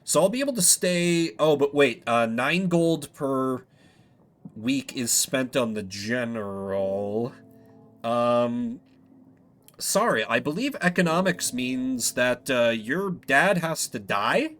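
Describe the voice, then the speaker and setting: Animated male game streamer. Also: mid-range voice, slow. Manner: jokey, thinking aloud. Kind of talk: casual game commentary. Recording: game music behind the voice.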